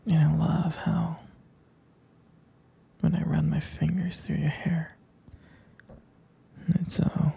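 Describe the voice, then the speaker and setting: A person whispering intimately and close to the microphone in a romantic ASMR roleplay as a tender, adoring vampire lover, with hesitant pauses. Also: medium pitch, flat delivery, dark tone, highs cut off. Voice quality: breathy, husky whisper. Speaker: ASMR performer playing a loving partner.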